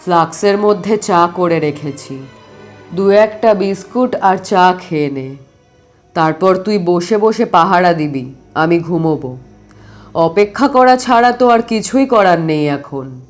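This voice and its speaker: A woman voicing a commanding, calm older uncle in an audio adventure story, speaking firmly. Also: fairly low pitch, unhurried, faint music behind, pitched below the performer's own voice. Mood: calm, instructing. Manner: firm, instructing.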